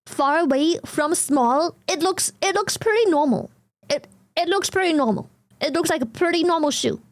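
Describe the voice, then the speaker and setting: German-accented woman streamer, high voice, blunt and giggly, casual chat on a dry mic. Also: dry recording. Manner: laughs often, swears casually, blunt.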